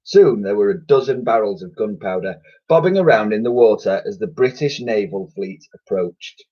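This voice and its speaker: A male teacher reading a children's book aloud, lively and expressive. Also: fairly low pitch, moderate pace, breathy edge, lo-fi sound.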